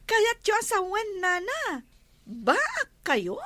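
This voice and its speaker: A woman with an Ilocano accent playing an excitable, giggly, gossipy village woman in a comedy radio drama. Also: extremely high pitch, extremely slow, no room sound, full character voice. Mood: teasing, excited.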